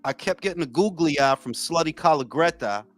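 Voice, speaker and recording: Man in his 40s, from New York, lively swinging pitch, dry close sound, faint music behind. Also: fairly quick, no echo. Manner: animated, profane storytelling. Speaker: podcast co-host. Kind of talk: casual chat.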